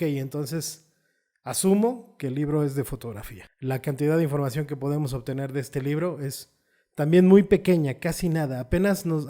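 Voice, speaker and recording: A man's voice: on the high side, warm tone. A man, a photographer hosting his channel, patiently explaining ideas through everyday examples and tag questions. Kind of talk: explanatory video talk.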